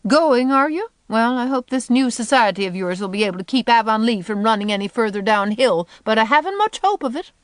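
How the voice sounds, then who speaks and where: A woman's voice: fairly high pitch, animated, fairly quick, few pauses, no echo, voice pushed up, lightly acted. Woman acting a sour, scornful older spinster. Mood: sour, gloomy. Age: 50s. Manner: scornful, blunt, folksy rural phrasing. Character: sour, contemptuous, gloom-loving 57-year-old spinster. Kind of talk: audiobook character voice.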